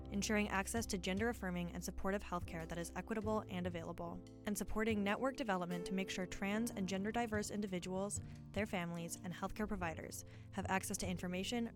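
Earnest woman reading a public notice. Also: medium pitch. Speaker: announcer. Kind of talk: public service announcement.

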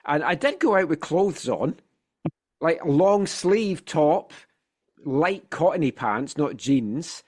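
Animated Scottish man, slightly congested. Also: on the high side, slow. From Scotland. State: slightly congested from a sinus infection. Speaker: football podcast host. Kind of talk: casual sports podcast chat.

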